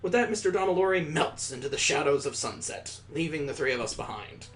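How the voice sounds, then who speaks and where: A man's voice: a little high, animated, fairly quick, faint music behind. A man reading a visual novel aloud as a gamer, mixing narration with casual asides.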